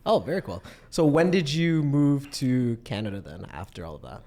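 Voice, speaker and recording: A man's voice: mid-range voice, very animated, normal speed, mellow. A man hosting an interview, asking curious questions and giving short affirmations. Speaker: podcast host. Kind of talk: casual interview chat.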